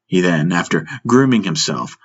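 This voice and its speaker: A man's voice: low-pitched, lively intonation, moderate pace, slightly rough, highs cut off. A man reading a science-fiction audiobook as a dramatic scripted narration.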